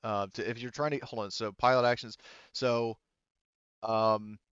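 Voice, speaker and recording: A man acting as game master of a tabletop roleplaying game, explaining rules and often pausing to think aloud. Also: mid-range voice, steady tone, fairly bright tone, smooth, no echo, lo-fi sound.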